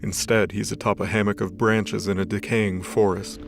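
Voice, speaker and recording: A man's voice: lowish voice, flat delivery, normal speed, vocal fry. A man narrating a scripted dramatic story as the storyteller of an audio drama. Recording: voice over background music.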